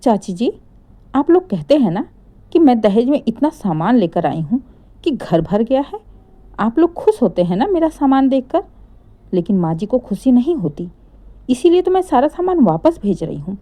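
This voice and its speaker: A woman's voice: a little high, big pitch swings, normal speed, dark tone. A woman voicing a composed young daughter-in-law in a family story, polite but pointed and mock-innocent. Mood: coolly pointed.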